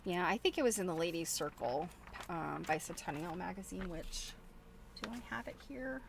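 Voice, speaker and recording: A woman's voice: fairly low pitch, expressive, moderate pace, gravelly, background noise. A middle-aged woman chatting casually as she shows things to viewers, thinking aloud in a rambling, chuckly way. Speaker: host of a quilting show. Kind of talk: casual show-and-tell chat.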